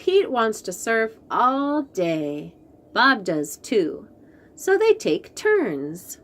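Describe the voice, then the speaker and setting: A woman reading a picture book aloud to young children, slow and expressive, then chatting about the books. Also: fairly high pitch. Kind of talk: read-aloud storytime for young kids.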